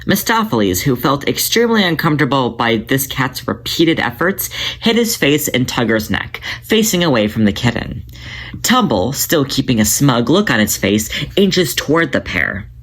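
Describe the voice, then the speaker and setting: A man narrating his own fan fiction as an audiobook, in a steady, measured reading. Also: medium pitch. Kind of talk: podfic story narration.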